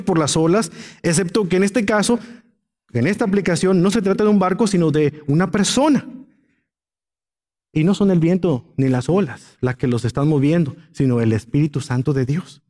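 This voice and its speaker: A man's voice: sits high, moderate pace, darkish tone. A man preaching a Bible-teaching sermon as a pastor, emphatic and illustrating his points with everyday examples. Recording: long hall reverb.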